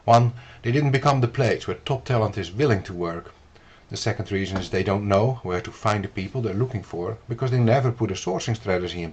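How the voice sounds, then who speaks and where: A man from the Netherlands with a Dutch accent, explaining steps to the viewer in an earnest, measured instructional video. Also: fairly low pitch, darkish tone, breathy edge. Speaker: business consultant.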